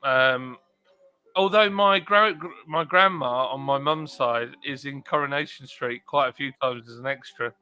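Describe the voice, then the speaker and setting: A man hosts a relaxed live stream and drifts between chat and viewer comments with plenty of ums. His voice is bright and forceful. Country music plays faintly behind him. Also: mid-range voice, a little slow, clean tone. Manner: chatty, reads out comments, scattered fillers. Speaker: livestream host.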